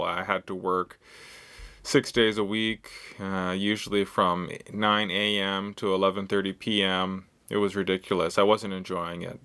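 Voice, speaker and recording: A man's voice: lowish voice, pushed and bright, smooth. A man in his thirties from Canada's West Coast, talking about himself in simple sentences for English learners, with frequent "uh" fillers. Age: 30s. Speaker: English teacher. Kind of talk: slow, clear lesson monologue for learners.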